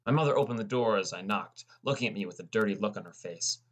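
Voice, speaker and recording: A man's voice: lowish voice, fast, lightly acted. A man playing a sullen, defensive teenage boy who narrates his own story in a scripted audiobook. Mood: narrating.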